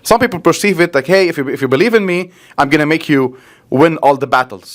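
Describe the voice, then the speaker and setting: A man's voice: fairly high pitch, expressive, racing. A man with a Lebanese accent, a priest explaining earnestly and emphatically in a conversational interview. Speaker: Orthodox priest.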